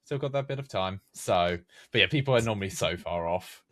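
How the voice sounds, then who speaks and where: Low-voiced young man, rambling chat. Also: lively intonation, a little fast, fairly bright tone. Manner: rambling, many fillers and restarts. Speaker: podcast guest, game map creator. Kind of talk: casual chat.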